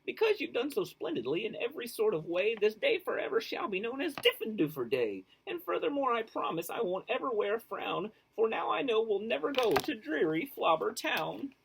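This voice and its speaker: A man's voice: big pitch swings, normal speed, gravelly, few pauses, a bit bright, a little hiss or hum, full character voice, low-quality recording. A man voicing a fussy, emotional male school official from a storybook, with a theatrically wailing delivery. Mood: proud, relieved. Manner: high, wailing, theatrically anxious.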